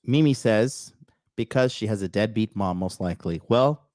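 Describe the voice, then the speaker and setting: A man hosting a livestream, reading an article aloud and answering the chat in a blunt, crude way, with a raspy, slightly nasal voice. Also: on the low side, moderate pace, a little mellow, no echo, clean studio sound. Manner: blunt, crude, talks back to live chat. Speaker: podcast host.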